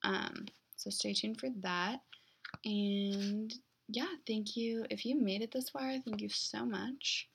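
A young woman talks casually on her own podcast in an even, monotone delivery that sounds less enthusiastic than she means. Her voice has a gravelly vocal fry, and her speech is filled with "like". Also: medium pitch, slow pace, slight background noise. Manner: heavy use of "like" as a filler. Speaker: podcast host. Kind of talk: casual solo podcast monologue.

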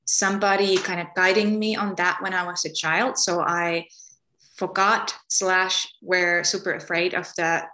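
A woman from Finland talks through an interview. Her voice is a little low but bright and clear. She often pauses to search for words and restarts her sentences. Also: level tone, slight background noise, low-quality recording. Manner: soft-spoken, searching for words with many ums and restarts. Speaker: guest, energy healer. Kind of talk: relaxed spoken interview.

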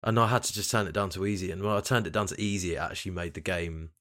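Deep, slightly croaky British man. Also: racing, a bit bright. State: tired and groggy after a night out. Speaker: podcast host. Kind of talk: casual chat about video games.

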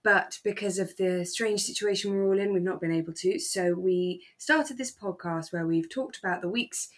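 A woman with a British accent co-hosting a podcast in a relaxed, casual chatting style. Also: mid-range voice, normal speed. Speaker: podcast co-host. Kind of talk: relaxed casual chat about a radio drama.

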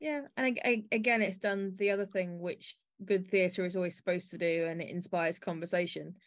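A woman's voice: on the low side, fairly even intonation, fairly quick. A woman hosting a podcast, talking through a piece in a conversational discussion style. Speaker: podcast host.

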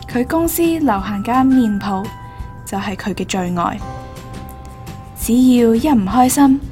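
A woman's voice: mid-range voice, darkish tone. A woman reading scripted storytelling narration as the narrator of a radio drama.

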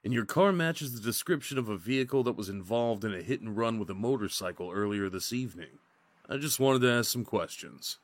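An actor playing a skeptical, by-the-book highway patrol officer in an audio drama, official and matter-of-fact. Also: male voice, lively intonation, a little fast, no echo.

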